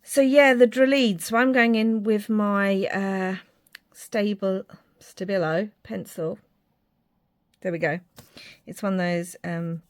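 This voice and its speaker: A woman from Ireland, talking casually to her viewers while she works on a craft project, rambling a little and poking fun at her own drawing skills. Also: mid-range voice. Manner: chatty, self-deprecating, rambling with fillers. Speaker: crafting vlogger. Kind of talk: casual craft tutorial chat.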